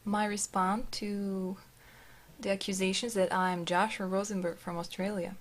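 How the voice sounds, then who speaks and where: A woman from Serbia with a Serbian accent, reading a text aloud and translating it, halting as she searches for words. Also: mid-range voice, little pitch movement. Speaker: video narrator.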